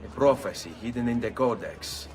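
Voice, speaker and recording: An actor playing a brooding, vengeful young Italian assassin in a video game, speaking gravely with an Italian accent. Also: male voice, medium pitch, fairly quick, a little mellow, far from the mic, slight background noise, lightly acted. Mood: earnest, intent. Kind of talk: video game cutscene acting.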